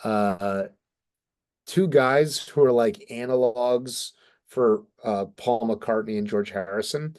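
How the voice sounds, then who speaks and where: A man co-hosting a casual talk about comic books, speaking as an excited fan who swears mildly. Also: medium pitch, slow. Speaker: podcast co-host. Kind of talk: casual enthusiastic comic book discussion.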